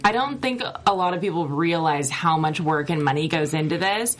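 A frank, animated woman co-hosting a casual podcast. Her voice sits slightly low, and she fills her talk with "like" as she works through her points. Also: normal speed. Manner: frank, animated, says "like" often. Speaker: podcast co-host. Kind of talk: casual chat.

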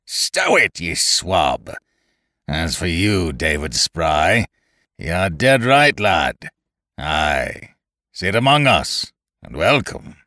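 A man's voice: sits low, very animated, slow, pushed and bright, dry recording, clean studio sound, full character voice. A man voicing a grim ship's ringleader who speaks curtly with a seaman's growl. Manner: curt, growling seaman's speech.

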